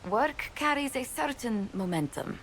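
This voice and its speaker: An actress playing a refined, courteous diplomat in a video game cutscene, with formal, polite phrasing. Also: female voice, sits high, lively intonation, slow, fairly bright tone, a little hiss or hum, heavily acted. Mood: courteous, gently hopeful. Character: refined, courteous female diplomat, earnest and busy-minded.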